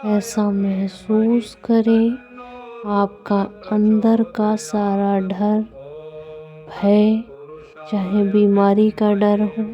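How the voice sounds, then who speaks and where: A woman leading a guided meditation, giving gentle, deliberate instructions with drawn-out phrasing and long pauses. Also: mid-range voice, flat delivery. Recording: dull lo-fi audio with music. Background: background music.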